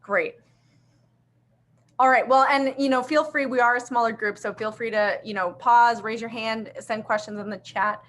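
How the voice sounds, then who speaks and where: A woman's voice: a little high, fairly even intonation, normal speed, a bit bright, slight background noise. A woman in her thirties, from Afghanistan and Pakistan, hosting a video call in an upbeat, conversational way with many fillers. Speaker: host presenting a church software platform. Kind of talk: casual video call presentation.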